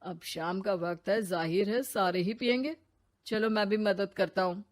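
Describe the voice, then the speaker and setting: A woman voicing a mild, agreeable elderly father-in-law who gently teases in a cartoon story. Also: mid-range voice, measured pace, dry recording, clean studio sound, close to an everyday voice. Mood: helpful. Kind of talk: cartoon moral story acting.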